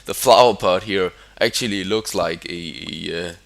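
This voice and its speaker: A young Danish man with a deep, low voice talks through his game build in a casual, unscripted way. He speaks English as a second language with a clear Danish accent, stumbling over restarts and fillers and chuckling now and then. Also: normal speed. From Denmark. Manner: hesitant, many fillers and restarts, chuckles often. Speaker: gamer. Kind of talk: casual gameplay commentary.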